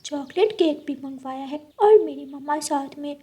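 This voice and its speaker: A woman voicing an excited, bossy little girl bird, chirpy and demanding, in a children's cartoon story. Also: high voice, normal speed, natural voice.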